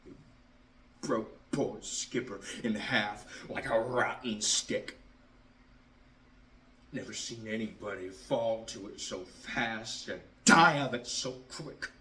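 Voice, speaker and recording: A man performing a stage monologue as a bitter, drunken Southern ex-athlete confronting his father, halting and repeating his words. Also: lowish voice, pushed and bright. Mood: anguished, bitter. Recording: roomy, distant mic, faint noise.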